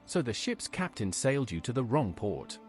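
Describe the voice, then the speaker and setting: A helpful adventurer hero voiced by a man for a fantasy video game, in a medium pitch with very animated intonation. The lines are brief, plain and straightforward, with faint music underneath. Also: moderate pace, natural voice. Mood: earnest, helpful. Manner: plain, brief questions and statements.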